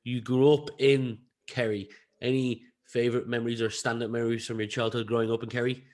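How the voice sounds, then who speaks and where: Medium-pitched Irish male podcast host. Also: flat delivery, brisk, darkish tone. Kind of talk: conversational podcast interviewing.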